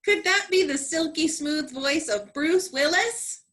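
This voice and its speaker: A woman with a bright, high voice reads comedy narration. Her delivery is dramatic and tongue-in-cheek. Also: measured pace. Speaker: comedy troupe performer, narrator.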